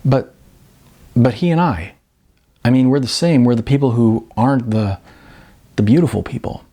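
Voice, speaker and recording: A man's voice: mid-range voice, normal speed, dark tone, close to an everyday voice. A man voicing a timid, hurt aspiring actress in an audiobook, hesitant and stammering. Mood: stunned, hurt. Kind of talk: audiobook character dialogue.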